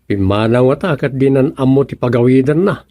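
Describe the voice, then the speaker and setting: Guy playing patient confused helper in radio comedy, soft mellow tone, northern filipino accent, dry studio sound. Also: medium pitch, a little fast, dry recording, close to an everyday voice. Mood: earnest. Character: puzzled, patient man helping a lost elder. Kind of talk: radio comedy drama acting.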